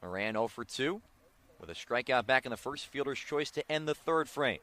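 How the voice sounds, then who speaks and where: An American man doing live play-by-play baseball commentary on the radio, with excited calls on big plays. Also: medium pitch, moderate pace.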